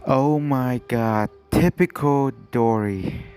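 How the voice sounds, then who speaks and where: A man's voice: medium pitch, slow pace, a little mellow, soft background music. A man giving casual reaction commentary while streaming a video game.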